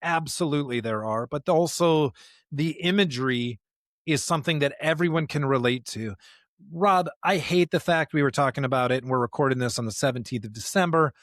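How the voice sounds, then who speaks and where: A man's voice: on the high side, brisk, a bit bright, no echo. Earnest American man, close dry sound. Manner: earnest, reflective, preacherly with rhetorical questions. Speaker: podcast co-host. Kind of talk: conversational discussion of scripture.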